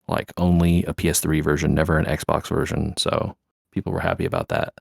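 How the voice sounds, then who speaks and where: Deep-voiced man in casual podcast chat. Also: sing-song, a little fast, gravelly, dry recording, studio quality. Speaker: podcast co-host.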